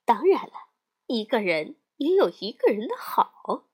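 A woman voicing a gentle mother bear who speaks calmly and softly. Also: a little high, a little slow, slightly rough, full character voice. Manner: calm, soothing, soft.

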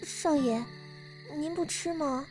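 A woman's voice: high voice, slow, music in the background, rough recording. A woman playing a meek, devoted young maidservant in a Chinese period radio drama, deferential and pleading. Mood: meek, coaxing.

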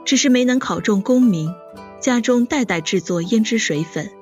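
A woman's voice: on the high side, expressive, normal speed, darkish tone, natural voice. A woman playing a knowing storyteller in a period audio drama, giving a scripted account. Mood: knowing, expository. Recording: slightly dull, lo-fi audio. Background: faint plucked music.

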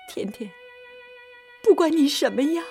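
A woman's voice: very high voice, darkish tone, heavily acted. An actress playing a devoted, long-suffering middle-aged mother in a radio drama, with a tender, emotive, pleading delivery. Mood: tearful pleading.

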